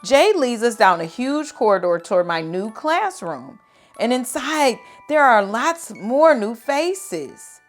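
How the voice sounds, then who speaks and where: A woman reading children's picture books aloud in an expressive, dramatic and deliberate storytelling style. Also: fairly high pitch, faint music behind.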